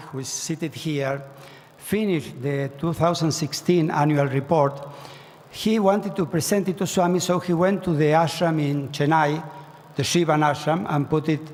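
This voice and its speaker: A fairly high-voiced man from Argentina speaks earnestly to a large congregation. Key phrases get fervent, rising emphasis. His voice carries a long reverb off the walls of a big hall. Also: a little mellow. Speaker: speaker at a spiritual gathering. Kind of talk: devotional address to a large audience.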